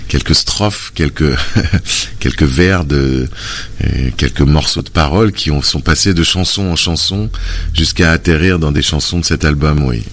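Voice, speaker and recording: A man with an extremely low, deep voice talks about his songs as an interview guest. He speaks hesitantly, stopping often and restarting his sentences. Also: a little slow, fairly bright tone. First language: French. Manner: hesitant, many fillers and restarts. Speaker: musician guest. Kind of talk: relaxed conversational interview.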